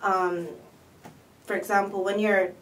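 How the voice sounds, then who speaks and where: A young woman from Barbados, a student, answering interview questions in a relaxed, thoughtful way. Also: a little slow. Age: young adult. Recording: distant mic with faint rumble.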